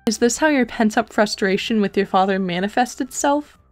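A woman voicing a dry, precocious teenage girl in a web comic dub, speaking in a measured, formal, deadpan way. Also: mid-range voice, natural voice. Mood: dry, needling.

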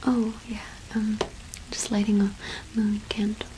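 A young woman whispering gently and unhurriedly in a casual ASMR roleplay, close to the microphone. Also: medium pitch, little pitch movement, a little slow, background noise. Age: young adult. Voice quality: breathy whisper. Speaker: ASMR roleplay performer playing a shopkeeper witch.

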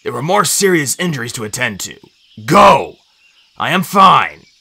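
A man's voice: mid-range voice, very animated, quick pace, vocal fry, fairly bright tone, husky, dry recording, full character voice. A man voicing a wounded old centaur mentor in a storybook, formal and stoic in manner. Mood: insistent. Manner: formal, insistent, wincing.

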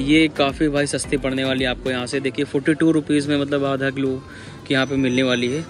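A man with a North Indian accent giving a casual, repetitive walk-through shopping commentary as a vlogger, addressing viewers like buddies. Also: mid-range voice. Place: large busy supermarket, indoors. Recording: background music over store vlog audio. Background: music.

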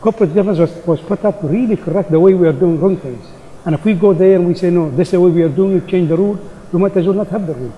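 A man's voice: sits high, very fast, big-room sound, highs cut off. A man making an emphatic, insistent point on a business conference panel. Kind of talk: panel discussion. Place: large conference hall stage.

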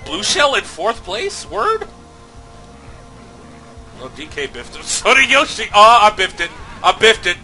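Male let's-play host, stuffy from a cold, crisp bright tone, casual and foul-mouthed, prone to shouting. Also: high voice, unhurried, long pauses, soft background music. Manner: excitable, swears a lot, loud outbursts. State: a little sick, slightly nasal. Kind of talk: casual gameplay commentary.

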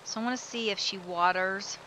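A woman's voice: medium pitch, a little fast, pushed and bright, slight background noise, poor audio. A woman casually commenting as she plays a life-simulation game, thinking aloud and giving her characters directions. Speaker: let's play host.

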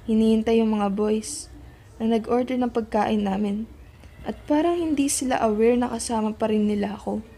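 Woman as quiet teenage girl narrator, high voice. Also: steady tone, normal speed, a little hiss or hum, lightly acted. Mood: reflective. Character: quiet, observant teenage girl narrating her friends' story. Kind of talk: scripted radio drama narration. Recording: music under the voice. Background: background music.

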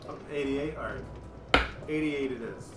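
A man's voice: medium pitch, normal speed, reverberant, very noisy. A man in his thirties, a father co-hosting his child's gaming video, making playful teasing dad jokes in casual chat at home. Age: 30s. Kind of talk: casual chat while watching gameplay. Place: home room, indoors.

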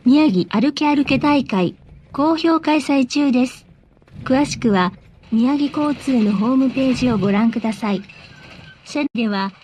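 A woman reading scripted bus announcements in a polite, formal, measured way. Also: sits high, darkish tone, breathy edge.

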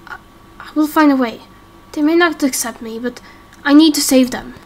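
A woman doing amateur voice acting for a game roleplay story, with a hesitant, halting dramatic delivery. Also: sits high.

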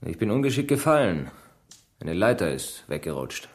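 An embittered young man from a village in a radio drama. He is grudging and defensive, and his voice sits fairly low. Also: big pitch swings, moderate pace. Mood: evasive, curt. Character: bitter young village man with crippled leg, evasive. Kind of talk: German radio play acting.

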